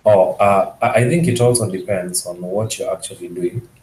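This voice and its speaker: A man calmly explaining code to others at a meetup. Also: sits low, normal speed, roomy sound, slight background noise, rough recording. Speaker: meetup participant.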